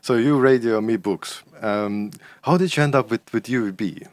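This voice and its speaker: A man's voice: medium pitch, moderate pace, no room sound. A man hosting a podcast in casual chat, often repeating words as he searches for the next one. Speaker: podcast host.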